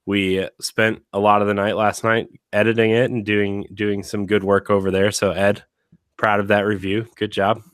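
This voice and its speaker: Deep-voiced man hosting a podcast, slightly raspy. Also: little pitch movement, normal speed, no echo. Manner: rambling, many fillers and restarts. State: slightly raspy from being sick. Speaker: podcast host. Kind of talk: casual chat.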